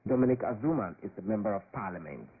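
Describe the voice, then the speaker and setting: A man reading a scripted news report in a measured, formal way. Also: fairly low pitch, animated, slightly rough. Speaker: radio reporter. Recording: tinny shortwave radio.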